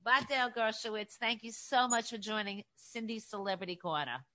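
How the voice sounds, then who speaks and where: A woman's voice: on the high side, moderate pace, sharp tone. A woman hosting a celebrity interview show, gushing and chatty as she introduces and questions her guest. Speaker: host.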